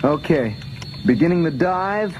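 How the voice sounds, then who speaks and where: An actor playing an earnest, boyish young male starfighter pilot in a space adventure radio drama, giving brisk military directions. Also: a little high, lively intonation, highs cut off, background noise. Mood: eager, focused. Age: young adult.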